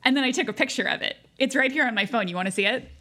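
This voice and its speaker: An actress playing a polite, ingratiating high school senior, an American girl, in a naturalistic audio drama. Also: very fast, bright, forceful tone.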